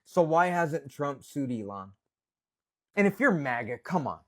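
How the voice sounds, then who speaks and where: Slow, husky, sarcastic man ranting. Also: on the high side, sing-song. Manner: mocking, sarcastic, blunt. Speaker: social media political commentator. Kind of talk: opinionated rant to camera.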